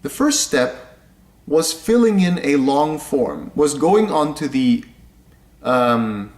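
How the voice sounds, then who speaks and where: A young man from Indonesia, explaining things step by step in a casual vlog, talking slowly and often repeating or correcting himself. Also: on the high side. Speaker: vlogger. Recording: echoey room.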